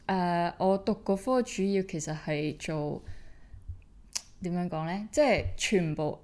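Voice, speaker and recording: A young woman from Hong Kong, a fashion model guesting on a podcast, who talks in a relaxed way and pauses with fillers as she thinks. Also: on the low side. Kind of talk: casual interview chat.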